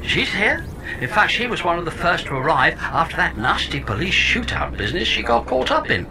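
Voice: mid-range voice, big pitch swings, fairly quick, vocal fry, a crowd in the background, low-quality recording. An actor playing a chatty pod AI that lives in a woman's head, cheerfully wry and theatrical, in a sci-fi audio comedy.

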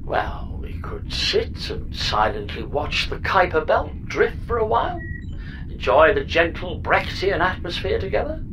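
A woman's voice: fairly low pitch, very animated, a little slow, background noise. A woman playing a chatty, flippant and reckless spaceship pod computer in a comedy audio drama, talking in a breezy, mock-grand theatrical way. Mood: blithely evasive.

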